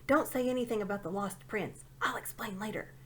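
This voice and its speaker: A woman voicing a muddled, fussy talking owl in a storybook, with hushed asides and hooting repeats. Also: medium pitch, brisk, close to an everyday voice. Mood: secretive, fretful.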